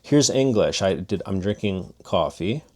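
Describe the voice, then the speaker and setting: Low-voiced man casually teaching languages. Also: expressive, unhurried, a little mellow. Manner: loose, restarting, demonstrative. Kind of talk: casual spoken lesson.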